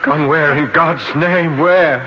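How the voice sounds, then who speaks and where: A man's voice: a little high, steady tone, takes it slowly. An actor playing a troubled, questioning man in a 1970s radio drama, with an insistent dramatic delivery. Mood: distraught, bewildered. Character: troubled, questioning male companion of a professor. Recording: dull, noisy 1970s radio broadcast.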